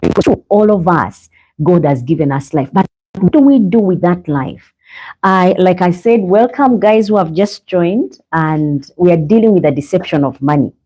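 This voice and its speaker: Uma mulher do Uganda, com sotaque ugandense, apresentando um podcast cristão ao vivo, em tom sério e pregador, falando diretamente aos ouvintes. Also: female voice, lowish voice, animated, moderate pace, dark tone, poor audio. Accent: Ugandan English. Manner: earnest, preachy, addresses viewers as guys. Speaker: podcast host. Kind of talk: live faith podcast monologue. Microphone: own microphone, sometimes adjusted.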